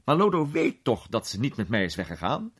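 A man's voice: medium pitch, wildly swooping pitch, a little fast, husky, voice pushed up. A man voicing an agitated, indignant storyteller recounting a frightening adventure in a dramatized story reading. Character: Varden, agitated man recounting his frightening escape as anecdote.

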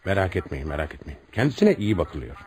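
Male, stern radio-play police commissioner: lowish voice, very animated delivery, brusque interrogator. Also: quick pace. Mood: apologetic, firm. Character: stern, commanding male police commissioner questioning murder suspects. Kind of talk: radio theatre acting.

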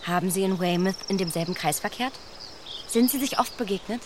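A woman's voice: mid-range voice, fairly quick, noisy background, natural voice. An actress playing a clever young lady in a radio play, probing and ironic in her questions.

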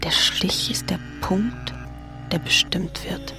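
An actress playing a dreamlike inner voice in a radio drama, reciting poetic fragments in a measured, incantatory manner. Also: female voice, lowish voice, in a whisper, over music. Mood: hushed, incantatory.

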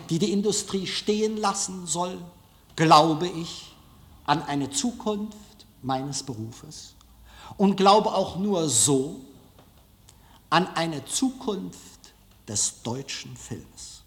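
Male lecturer, fairly high voice, very measured and emphatic, speaking in a reverberant hall. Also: a bit bright. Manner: emphatic, deliberate phrasing with rhetorical repetition. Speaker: filmmaker giving a lecture. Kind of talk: public speech, declamatory lecture.

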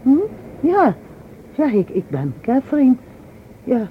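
An actress playing an earnest, polite sister in a radio play. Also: female voice, high-pitched, big pitch swings, takes it slowly, slight background noise, heavily acted. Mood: polite, curious. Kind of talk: Dutch radio drama acting. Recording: muffled old radio play recording.

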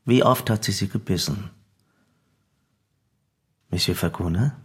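A deep-voiced, probing psychiatrist. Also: male voice, slow pace, slightly rough, heavily acted. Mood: clinical, probing. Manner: formal, clinical questioning. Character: probing, persistent court psychiatrist, formal questioner. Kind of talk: German radio drama acting.

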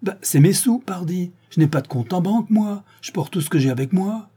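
A man's voice: high voice, expressive, brisk, dark tone, husky, pitched above the performer's own voice. A man voicing a desperate accused man who protests his innocence in court, agitated and raising his voice. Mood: defensive.

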